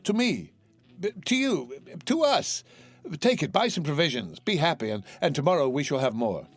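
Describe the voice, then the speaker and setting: Man playing an earnest, animated young sailor son. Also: fairly high pitch, moderate pace, voice pushed up. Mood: ardent, distressed. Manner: ardent, courteous.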